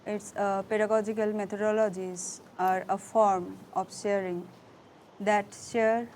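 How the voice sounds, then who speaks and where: A woman facilitating a symposium session, talking loosely and reflectively with pauses. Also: medium pitch, level tone, darkish tone, slight background noise. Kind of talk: casual talk at a gathering.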